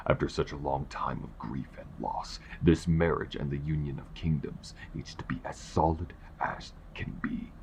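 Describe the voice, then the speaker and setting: A man acts out a ruthless old king with a rock-bottom, creaky voice. He speaks with cold, deliberate command, a stern father in an audio drama. There is noticeable noise in the recording. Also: very deep voice, poor audio. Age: older. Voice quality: gravelly. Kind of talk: audio roleplay acting.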